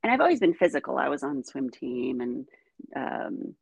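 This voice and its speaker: A middle-aged woman, first raised speaking Ukrainian and from the United States, telling her life story as a guest in animated conversation. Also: very deep voice, moderate pace, creaky, slightly rough, no room sound. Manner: animated storytelling, frequent "you know". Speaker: guest, dancer.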